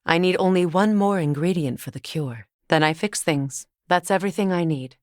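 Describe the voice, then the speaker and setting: A woman playing a solemn, compassionate, determined ancient goddess in a video game, speaking in a measured, formal, earnest way. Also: on the low side, no echo, studio quality, close to an everyday voice. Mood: resolute. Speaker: video game character voice actor. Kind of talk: dramatic game dialogue.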